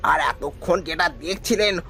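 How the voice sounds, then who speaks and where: A man's voice: high-pitched, normal speed, vocal fry, pushed and bright, soft background music, heavily acted. A man voicing a mysterious, deferential boatman in a horror cartoon, speaking respectfully. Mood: reassuring.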